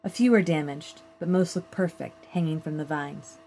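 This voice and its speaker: A woman reading a first-person literary short story aloud in a measured, plain storytelling manner. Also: low-pitched, dark tone, soft background music. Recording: clear background noise.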